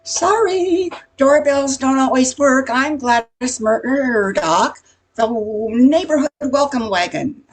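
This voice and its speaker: An older woman playing a nosy, gossiping neighborhood busybody in an amateur radio comedy, chatty and insinuating with a husky, creaky voice. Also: high voice, lively intonation, slow pace, full character voice, rough recording. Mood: cheery.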